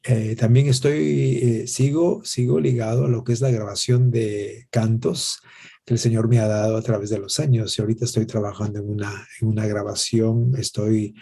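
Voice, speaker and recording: A man, answering in an interview in a gracious, halting way with many pauses. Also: on the low side, steady tone, creaky, dark tone, slightly rough. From Guatemala. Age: 60s. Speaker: interview guest, pastor and worship musician.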